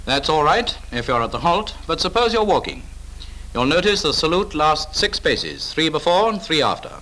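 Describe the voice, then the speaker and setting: Bright-voiced British man, 1940s training film narrator. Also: a little high, animated, moderate pace, slight background noise, poor audio. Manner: clipped, authoritative, instructive. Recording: old 1940s film soundtrack.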